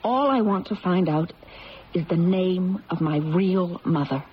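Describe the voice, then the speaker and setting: Actress as an earnest, low-voiced troubled young woman. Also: unhurried, lightly acted. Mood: earnest, pleading. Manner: earnest, intense, dramatic. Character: earnest, troubled adopted young woman seeking her origins. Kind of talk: 1970s radio drama acting. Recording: muffled, noisy old radio broadcast.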